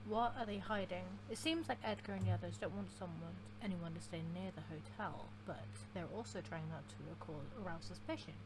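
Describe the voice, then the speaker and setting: A woman's voice: fairly low pitch, fairly quick, few pauses. A woman giving casual, slangy, irreverent playthrough commentary and reading game dialogue aloud. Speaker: gaming video creator. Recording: clear noise and game ambience behind.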